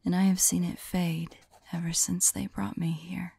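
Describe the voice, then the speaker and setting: A woman playing an anguished, lovelorn mage in an ASMR audio roleplay, speaking her journal entries in a soft, breathy, halting and mournful voice. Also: lowish voice, little pitch movement, exaggerated voice. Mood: somber, worried. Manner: hushed, halting, mournful, intimate. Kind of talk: slow dramatic monologue, ASMR audio roleplay.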